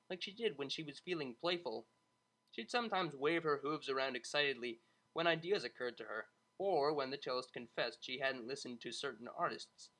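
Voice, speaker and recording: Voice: medium pitch, a bit bright, rough recording. A narrator reading a scripted story dramatically, in the role of storyteller.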